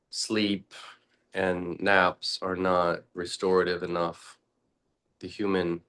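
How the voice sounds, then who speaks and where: A man leading a guided meditation talk as a teacher, speaking deliberately in short, halting phrases with long pauses. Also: deep voice, fairly bright tone, clean tone.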